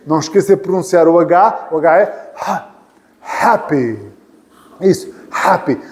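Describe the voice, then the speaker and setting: A man's voice: sits high, a little mellow. A man from Portugal with a European Portuguese accent, teaching an English class patiently, repeating phrases and joking lightly. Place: church hall classroom. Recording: reverberant hall.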